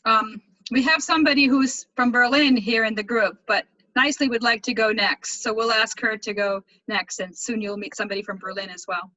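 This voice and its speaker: A woman's voice: fairly high pitch, steady tone, brisk, few pauses, bright, forceful tone, dry recording. A woman hosting a video call, introducing speakers with short, friendly remarks. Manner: friendly, apologetic, brief remarks. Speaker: meeting host. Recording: dull, lo-fi call audio.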